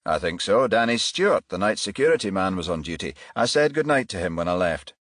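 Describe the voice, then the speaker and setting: An unruffled, self-assured businessman in middle age, voiced by a male narrator. His delivery is matter-of-fact yet varied in pitch, captured close and dry for an audiobook. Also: big pitch swings, fairly quick, a bit bright, no room sound, full character voice. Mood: cooperative.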